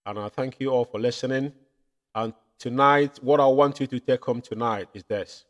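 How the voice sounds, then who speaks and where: A man hosting a political commentary show from home, building into loud, impassioned rants. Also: mid-range voice, moderate pace. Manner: rises to loud impassioned ranting. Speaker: show host.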